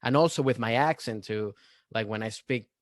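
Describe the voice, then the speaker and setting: A man's voice: medium pitch, normal speed, no echo, clean studio sound. A young adult man from Venezuela with a Venezuelan accent, a comedian chatting as a guest in a loose, rambling way with many restarts and fillers. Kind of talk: casual podcast chat.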